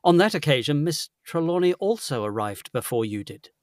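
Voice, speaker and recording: An actor playing a courteous, earnest gentleman investigator in a gothic audio drama, with a genteel old-fashioned manner. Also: a little high, big pitch swings, moderate pace, no room sound, studio quality. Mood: earnest, puzzled.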